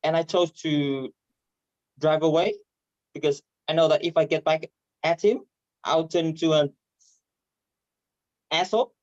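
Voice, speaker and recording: A man from Vietnam with a Vietnamese accent and a stutter, performing stand-up comedy haltingly over a video call. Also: a little high, steady tone, no echo. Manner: hesitant, halting delivery with repeated words. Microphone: video call microphone. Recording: dull, muffled online call audio.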